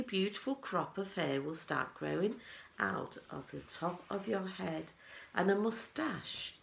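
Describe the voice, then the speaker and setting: An eccentric male inventor from a children's storybook, as a woman reads him, bossy and boastful. The voice swoops up and down excitedly in a roomy, echoing space. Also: medium pitch, vocal fry, slight background noise, full character voice. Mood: excited, proud. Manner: exclamatory, hurried, boastful, scolding. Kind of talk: children's storybook character reading. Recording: roomy, echoing indoor sound.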